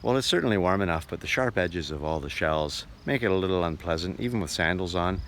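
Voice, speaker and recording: A man's voice: low voice, lively intonation, quick pace. A man narrating a casual travel vlog on location in a chatty, reflective, unscripted way. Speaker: radio show host and touring performer filming a travel documentary. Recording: clearly noisy outdoor and vehicle recording.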